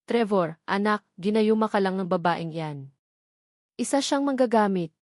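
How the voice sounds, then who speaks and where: A woman's voice: medium pitch, normal speed, a little mellow, dry recording, clean studio sound, lightly acted. A woman voicing a haughty, scornful rich older mother, the villain of an audio story. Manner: scornful, imperious.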